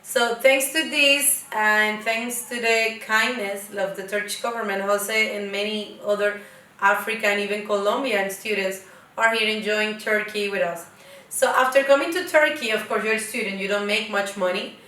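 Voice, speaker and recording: Bright-voiced woman host, echoey room. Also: mid-range voice, fairly even intonation, normal speed. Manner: chatty, guiding questions. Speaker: host, interviewer. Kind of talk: casual interview chat. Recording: echoey room, distant mic.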